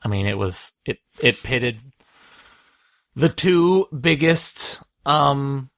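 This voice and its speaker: A man co-hosting a podcast in casual chat, enthusiastic and rambling, his voice going hoarse. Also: medium pitch, animated, slow, a bit bright, no echo, clean studio sound. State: losing his voice. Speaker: podcast co-host.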